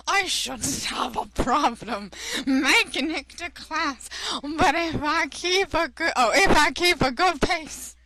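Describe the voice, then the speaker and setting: A woman's voice: sits high, sharp tone, slight background noise, rough recording. A woman reading visual novel narration aloud as a co-reader on a let's play.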